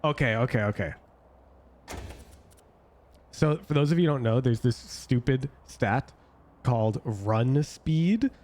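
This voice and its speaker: Man, streamer, hoarse, losing voice, excitable, laughing, swearing, unhurried. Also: mid-range voice. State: losing his voice. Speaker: streamer reviewing gameplay. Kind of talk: casual gameplay commentary.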